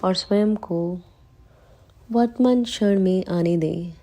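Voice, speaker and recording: Slow, mellow woman guiding a meditation. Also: medium pitch. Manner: calm, soothing, unhurried instruction with long pauses. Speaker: meditation guide. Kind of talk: slow guided meditation in Hindi.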